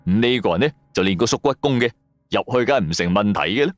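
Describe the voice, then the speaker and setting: A male storyteller delivers scripted drama narration. His pitch swings widely and his manner is colloquial and suspenseful. The voice is close and dry, over faint music. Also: expressive, fast talker, no room sound. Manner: colloquial, suspenseful, rhetorical questions.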